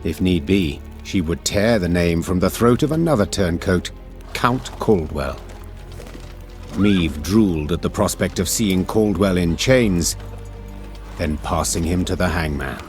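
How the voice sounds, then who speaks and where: Game storyteller, low voice, solemn, measured, dramatic narration, soft music behind. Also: male voice, expressive. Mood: grave, ominous. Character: male storyteller narrating a grave war saga.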